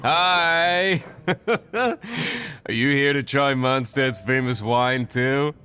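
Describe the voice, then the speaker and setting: A man's voice: medium pitch, very slow, pushed and bright, soft background music. A man voicing a merry, tipsy tavern patron in a video game, jolly and rambling.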